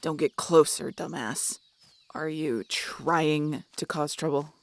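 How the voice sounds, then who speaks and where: A woman's voice: low voice, slow, no echo. A woman playing a grumpy, cynical, guarded female harpy in an audio roleplay, talking in a curt, sardonic, grudging way. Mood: stern.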